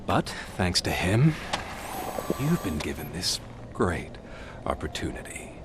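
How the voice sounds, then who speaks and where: An actor playing a calm, menacing crime boss in a video game, speaking slowly and condescendingly over noise. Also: male voice, low voice, heavily acted. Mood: calm menace. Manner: slow, deliberate, condescending. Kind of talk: video game cutscene acting.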